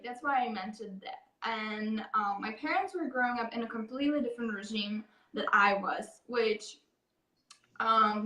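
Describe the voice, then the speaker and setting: Bright-voiced woman from Czechia, echoey call. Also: fairly high pitch. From Czech Republic. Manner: rambling, many fillers like "like" and "um". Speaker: guest, happiness coach. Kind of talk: casual interview chat. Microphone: video call. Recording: echoey room, distant from mic.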